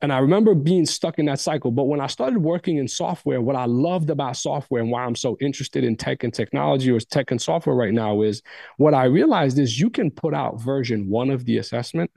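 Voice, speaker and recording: A man's voice: fairly high pitch, vocal fry, dark tone, no room sound, studio quality. Emphatic man, native Arabic speaker. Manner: emphatic, repeats phrases for stress. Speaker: podcast guest. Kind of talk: motivational talk.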